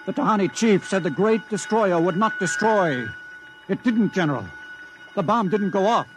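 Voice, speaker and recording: An actor playing a tense, insistent scientist shaken by events in an old-time radio drama. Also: male voice, sits high, expressive, normal speed. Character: agitated male scientist awed by a curse. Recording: faintly noisy vintage radio recording.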